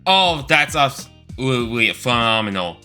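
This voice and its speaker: Bright, animated man giving enthusiastic commentary. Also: medium pitch, clear, resonant tone. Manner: enthusiastic, expressive, drawn-out emphasis on words. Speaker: video creator ranking game stories. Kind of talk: informal opinion commentary with excited exclamations. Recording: voice over background music.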